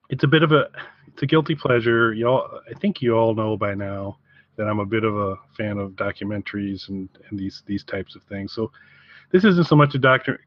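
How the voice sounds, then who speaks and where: Man, mid-range voice, halting casual podcast talk, repeated words, dry lo-fi recording. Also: medium pitch, dry recording. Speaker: podcast co-host.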